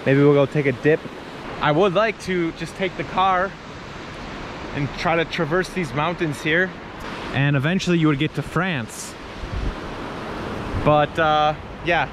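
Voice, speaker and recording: A man in his thirties from the United States, vlogging excitedly to a handheld camera held at arm's length while he travels in a mountain village. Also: a little high. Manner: excitable, exclaiming, casual. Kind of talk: travel vlog, talking to camera. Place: outdoors in a mountain village and in a car. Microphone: handheld action camera at arm's length. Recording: noisy outdoor ambience.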